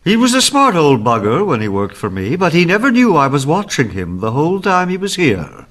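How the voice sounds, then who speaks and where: An actor playing a smug, scheming, greedy villain in an old-time radio mystery. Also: male voice, fairly high pitch, huge pitch swings, fairly quick, a bit bright, exaggerated voice.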